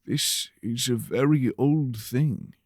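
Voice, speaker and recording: A man voicing a grave, scholarly church rector who speaks formally and deliberately in an audio drama. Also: medium pitch, no room sound, studio quality. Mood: pondering.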